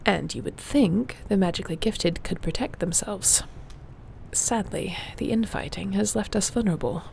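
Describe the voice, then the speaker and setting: A woman playing a gentle, wry warrior shifter with healing magic in an intimate fantasy audio roleplay, speaking softly and soothingly without hurry. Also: medium pitch, moderate pace, creaky, slight background noise, natural voice. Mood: grave, explanatory.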